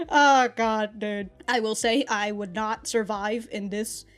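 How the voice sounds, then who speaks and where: A young woman with a fairly high voice, chatting through her reactions in an animated, offhand way. She laughs easily, swears freely, and argues with the screen as she goes. Faint music plays under her headphone-mic audio. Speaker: anime reaction host. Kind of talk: casual reaction commentary. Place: small room at a desk, in front of a backdrop. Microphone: headphones with an in-line mic.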